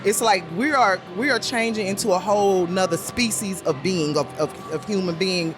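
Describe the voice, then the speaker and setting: A woman with a deep voice talking as a podcast guest, rambling with many restarts and fillers. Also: moderate pace, music in the background. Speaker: guest, puppet show creator. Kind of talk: casual podcast conversation.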